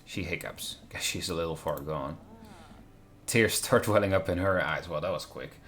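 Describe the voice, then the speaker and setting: A man's voice: low-pitched, moderate pace, fairly bright tone. A man reading a visual novel aloud on a stream, breaking off with wry commentary. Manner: wry, interjects remarks on the script. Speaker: game streamer, co-reader. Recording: faint noise on a live stream.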